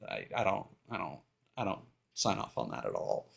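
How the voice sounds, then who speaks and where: A man streaming live, talking casually and giving his opinions in a halting way, circling back over his words. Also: deep voice, huge pitch swings, creaky, husky. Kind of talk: casual opinionated rambling on a livestream.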